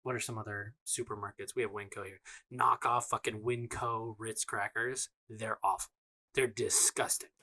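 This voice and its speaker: A young American man co-hosting a podcast, loud and joking, swearing often as he banters with friends. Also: fairly low pitch, little pitch movement, normal speed. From United States. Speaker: podcast co-host. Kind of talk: casual chat.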